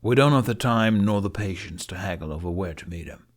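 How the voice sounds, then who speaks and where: A man's voice: low voice, expressive, a little fast. A man voicing a stern, weary middle-aged Viking chief, curt and decisive, in a fantasy audiobook. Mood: commanding, impatient.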